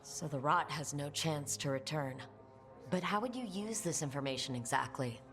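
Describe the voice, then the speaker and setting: A woman's voice: low-pitched, expressive, moderate pace, fairly bright tone, soft background music. A woman playing a level-headed, pragmatic adventurer in a video game, direct and composed as she questions others. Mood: questioning. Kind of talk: video game drama acting.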